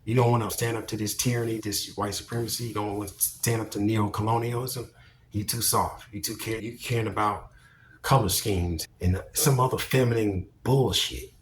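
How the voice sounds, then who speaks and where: A man giving a blunt, profane, opinionated monologue in a deep, projecting voice. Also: fairly even intonation, moderate pace, slight background noise. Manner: blunt, profane, preachy and emphatic. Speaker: commentary vlogger.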